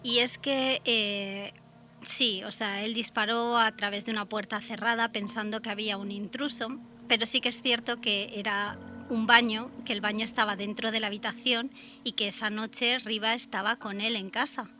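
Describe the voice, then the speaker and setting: Very bright-voiced woman from Spain. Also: fairly high pitch, steady tone, normal speed, slight background noise. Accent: Spain Spanish. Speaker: radio show co-host. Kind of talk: conversational true-crime discussion.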